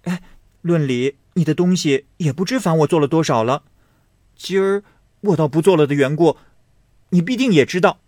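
A man voicing a lively, outspoken teenage girl in an audiobook reading of a classic novel, quick and teasing in a raised voice. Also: no echo, studio quality, natural voice. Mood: teasing, indignant. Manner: quick, teasing, pitched high.

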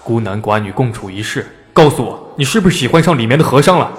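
A man's voice: mid-range voice, lively intonation, fast talker, close to an everyday voice. A man playing an embittered, lovelorn young noble husband in a Chinese historical audio drama. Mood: bitter, accusing. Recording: long reverb, faint music behind.

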